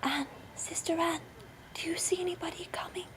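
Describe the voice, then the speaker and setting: A desperate storybook wife, acted by a woman narrator, pleads in a very high, breathy voice. She sounds trembling and sorrowful, on a somewhat noisy recording. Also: moderate pace, darkish tone. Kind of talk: storybook character voice.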